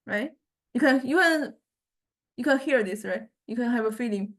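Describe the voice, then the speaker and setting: A woman lecturer giving an informal, unscripted software demonstration, with halting phrasing and frequent check-in questions. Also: a little high, expressive, soft background music.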